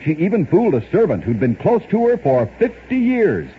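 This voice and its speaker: Lively old-time radio announcer man. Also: high-pitched. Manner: brisk announcer delivery of odd facts. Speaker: radio show narrator. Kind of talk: scripted radio narration. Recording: muffled old radio recording with hiss.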